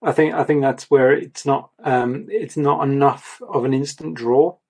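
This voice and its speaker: A man reviewing an artist's portfolio, talking in a rambling, repetitive way, his voice going a little croaky. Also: mid-range voice, steady tone, a little slow, darkish tone. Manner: rambling, repeats words, gives advice. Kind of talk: casual mentoring chat.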